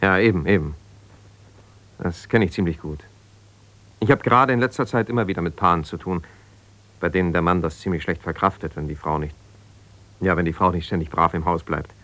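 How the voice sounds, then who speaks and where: An actor playing an ambitious, self-justifying lawyer in a German radio drama, speaking haltingly and conversationally as if on the phone. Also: male voice, deep voice, a bit bright. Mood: measured, advising. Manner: conversational, halting, with repeated phrases and pauses.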